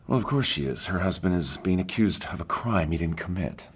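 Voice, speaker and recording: A man's voice: low-pitched, expressive, fairly quick, slightly rough. An actor playing a smug, controlling male suspect in an audio drama, curt and defensive under interrogation. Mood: smug, cool. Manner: curt, defiant.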